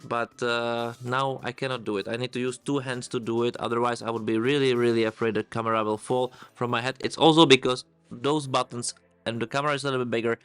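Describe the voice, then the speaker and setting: A man's voice: medium pitch, fairly bright tone. Enthusiastic man reviewing a camera. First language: Czech. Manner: enthusiastic, loose non-native phrasing. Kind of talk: casual gear review.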